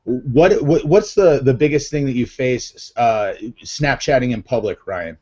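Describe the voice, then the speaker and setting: A man's voice: mid-range voice, a little slow, darkish tone, faint music behind. Chatty man with a Massachusetts accent. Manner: chatty, upbeat, many fillers. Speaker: live show host. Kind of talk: casual live video chat. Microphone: video call. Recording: dull lo-fi video call audio.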